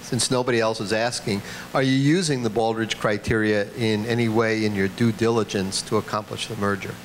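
Low-voiced man asking from the audience. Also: fairly even intonation, fairly quick. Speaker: audience member. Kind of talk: audience question. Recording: distant audience pickup with hiss.

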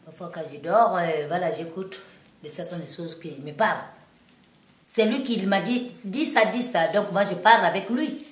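Guinean lady singer talking in an interview, lowish voice, choppy broken french with lots of restarts, gets fired up, echoey room. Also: moderate pace. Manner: simple, broken French with frequent restarts, growing heated and emphatic. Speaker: singer and musician.

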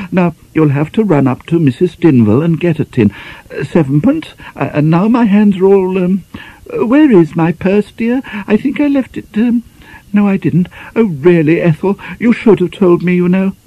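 A man's voice: high voice, normal speed, warm tone, dry recording, lo-fi sound, pitched above the performer's own voice. A man voicing a fussy, flustered genteel lady in an audiobook, dithering and politely hesitant. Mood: dithering, reproachful. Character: fussy, flustered genteel spinster lady scolding her maid.

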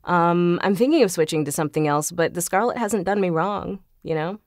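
A woman chatting casually as an interview guest, talkative and quick to laugh. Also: fairly low pitch, a little mellow, dry recording, clean studio sound. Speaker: guest, voice actor. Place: closet home studio, dry sound. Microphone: shotgun studio microphone.

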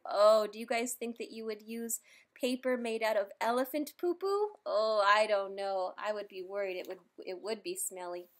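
A woman reading a children's picture book aloud, adding playful, expressive asides for young listeners. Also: mid-range voice. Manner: playful, expressive, simple child-directed delivery with exclamations. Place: indoors in a room at home.

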